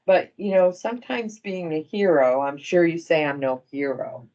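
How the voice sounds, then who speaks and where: Low-voiced woman presenting staff awards. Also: animated, darkish tone, dull audio. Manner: halting, appreciative, addressing a group. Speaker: medical center director presenting awards. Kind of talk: informal award presentation speech.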